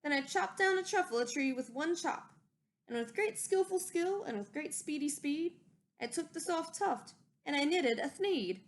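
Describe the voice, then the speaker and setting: A woman's voice: sits high, animated, normal speed, pitched above the performer's own voice. A woman as an eager, self-justifying old inventor. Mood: nostalgic, excited.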